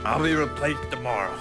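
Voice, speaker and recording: A man playing a defiant, mocking mobster facing death, with drawn-out taunts in a dramatic video game scene. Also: medium pitch. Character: defiant dying male mobster, sneering and taunting.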